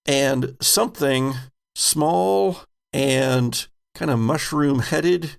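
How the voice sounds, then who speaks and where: A man runs a horror tabletop game in a mid-pitched voice with some fry. He feels his way through vivid scene descriptions, pausing and picking up again as game master.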